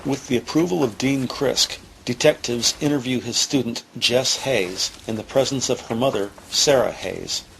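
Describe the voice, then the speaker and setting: A man's voice: medium pitch, normal speed, creaky, hardly any pauses, a bit bright, slight background noise, rough recording. A man reading scripted narration for a police audio drama.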